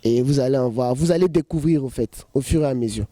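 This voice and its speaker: A man in his thirties, a choreographer, answering interview questions in a relaxed, joking way and saying "voilà" often. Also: mid-range voice, fast, dry recording. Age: 30s.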